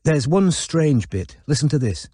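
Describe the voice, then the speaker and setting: A man voicing an eager, businesslike woman investigator in an audiobook, brisk and matter-of-fact. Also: a little high, sing-song, mellow, breathy edge, dry recording, pitched above the performer's own voice, exaggerated voice. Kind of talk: audiobook character dialogue.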